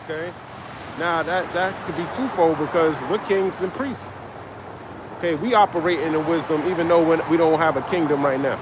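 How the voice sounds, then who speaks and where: A man's voice: on the high side, normal speed, background noise. A man preaching on a live stream, reading scripture aloud and expounding it in a confrontational, profane way. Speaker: street preacher.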